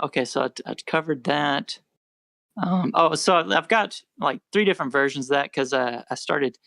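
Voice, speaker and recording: Soft-spoken young man rambling about music. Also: medium pitch, dry recording. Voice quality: quiet voice. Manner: rambling, self-correcting, relaxed. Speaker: musician guest. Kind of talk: casual podcast interview.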